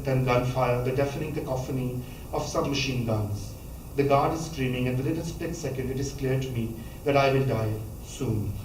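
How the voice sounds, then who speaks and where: A man, reading a memoir passage aloud at a measured pace, now and then stumbling and restarting a word. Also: mid-range voice, a little mellow, slight background noise. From Pakistan. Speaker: author reading from his book. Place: college lecture hall. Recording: echoey hall, voice from a distance, faint ambience.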